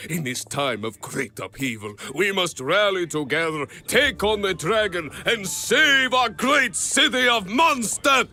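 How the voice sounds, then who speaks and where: An actor voicing a boisterous, dramatic adventurers guild recruiter in a fantasy game, proclaiming everything theatrically. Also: male voice, high voice, lively intonation, unhurried, few pauses, pushed and bright, soft background music, heavily acted. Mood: rousing, excited. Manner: bombastic, proclaiming.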